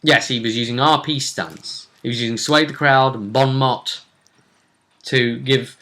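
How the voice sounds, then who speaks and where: A man co-hosting a casual recap of a tabletop game as game master, telling it in a measured storyteller's way with pauses. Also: mid-range voice, steady tone, bright, forceful tone.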